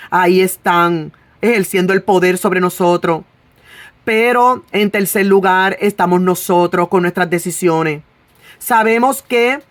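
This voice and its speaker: Woman, preacher giving testimony, earnest, drawn-out emphasis, many fillers, dry sound. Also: medium pitch, no room sound. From Puerto Rico. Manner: earnest, stretches key words, frequent "eh" and "¿verdad?" fillers. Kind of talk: sermon with personal testimony.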